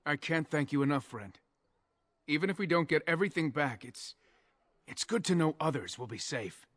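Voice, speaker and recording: A man's voice: on the high side, lively intonation, normal speed, a bit bright, no echo. An actor playing a humble, sorrowful male elf refugee in a video game, pleading and hesitant. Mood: grateful.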